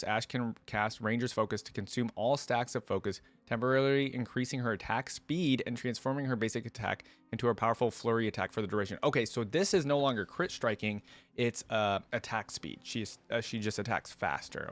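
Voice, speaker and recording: A man's voice: mid-range voice, a little fast, a bit bright. A man giving casual, conversational reaction commentary on a video game, rambling with frequent asides.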